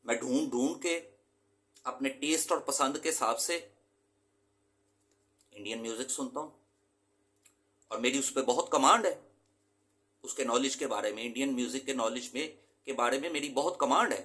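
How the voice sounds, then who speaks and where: A man's voice: medium pitch, moderate pace, pauses often, sharp tone. A man from Pakistan, an English teacher, giving an earnest, emphatic lecture to his students and mixing English terms into his talk.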